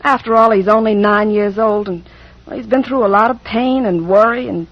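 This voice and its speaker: A woman's voice: mid-range voice, steady tone, moderate pace, lightly acted. A woman playing a concerned, patient clinic therapist in an old western radio drama, earnest and hesitant. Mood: worried. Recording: muffled vintage radio recording.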